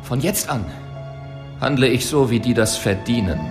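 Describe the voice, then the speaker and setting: An actor playing a hard, bitter, vengeful police detective in a radio drama, speaking in a curt, intense, biting way. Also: male voice, mid-range voice, normal speed, over loud music, close to an everyday voice. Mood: bitter anger. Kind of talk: German radio drama acting.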